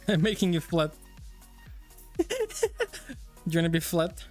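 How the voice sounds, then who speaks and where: High-voiced laughing male streamer. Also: measured pace, a little mellow, soft background music. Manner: laughing, teasing, bossy. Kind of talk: casual chat while playing a game.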